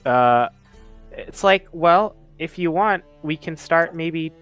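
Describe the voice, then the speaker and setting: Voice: medium pitch, expressive, slow, a bit bright, smooth. A player in a tabletop roleplaying game, chatting casually in character. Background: faint music.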